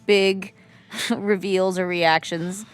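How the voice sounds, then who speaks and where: A woman's voice: on the low side, steady tone, very slow, smooth, faint music behind. A woman giving casual commentary as a performer, chatty and often restarting her thoughts.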